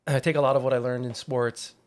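A man in his thirties from Hawaii, a guest chatting in a relaxed way on a podcast interview, with lots of "like" and "you know". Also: mid-range voice, fast. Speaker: guest, artist manager.

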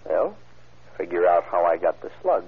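Tough, sly male hold-up man, slangy and curt, very low husky voice, vintage radio crime drama. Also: sing-song, normal speed, full character voice. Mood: bitter. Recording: tinny, muffled old radio recording.

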